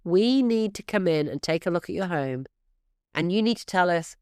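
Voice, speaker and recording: Snuffly woman host giving brisk advice. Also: lowish voice, animated, darkish tone, smooth, dry recording, studio quality. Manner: brisk, emphatic, coaching tone, addresses listeners as "guys". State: stuffy and snuffly from allergies. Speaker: real estate podcast host. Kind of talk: direct-to-listener podcast advice.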